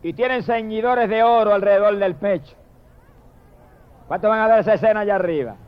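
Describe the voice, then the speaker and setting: A man's voice: sits high, a little fast, smooth, lo-fi sound. A man preaching an impassioned sermon, breaking often into cries of praise. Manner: impassioned, repetitive exclamations of praise. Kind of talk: fervent sermon.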